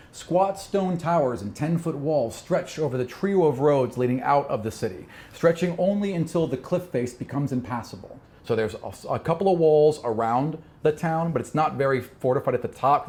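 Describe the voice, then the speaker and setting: A man's voice: mid-range voice, a little mellow. A man narrating a tabletop role-playing game as game master, moving between scripted description and conversational, improvised scene-setting with repeated phrases.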